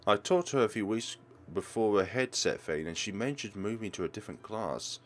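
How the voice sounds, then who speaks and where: Man as a low-voiced, hesitant teenage student. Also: animated, moderate pace, faint music behind, natural voice. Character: anxious, reserved teenage student, polite to parent.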